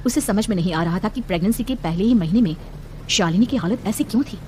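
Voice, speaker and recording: A woman's voice: medium pitch, quick pace, warm tone, slight background noise. A woman narrating a scripted horror cartoon story in a dramatic storytelling style.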